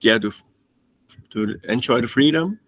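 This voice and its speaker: Guest: man, 30s, hesitant English, mid-pitched, dry close sound. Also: normal speed, fairly bright tone, slightly rough, dry recording. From Austria. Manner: hesitant, restarts often, non-native phrasing. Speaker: guest trading student. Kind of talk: casual interview.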